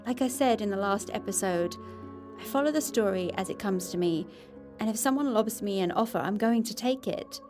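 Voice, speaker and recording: A woman's voice: medium pitch, normal speed, over music, natural voice. A woman playing a curious, candid podcast reporter in an audio drama, conversational and dryly observant. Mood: matter-of-fact, wry. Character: curious, candid female podcast reporter narrating her investigation. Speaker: reporter and narrator. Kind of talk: scripted first-person podcast narration.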